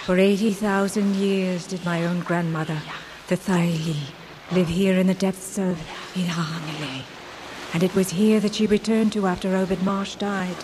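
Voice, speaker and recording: Woman as ancient undersea matriarch, low voice. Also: noisy background, close to an everyday voice. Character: ancient female undersea creature, transformed grandmother, serene and imperious. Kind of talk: horror audio drama acting.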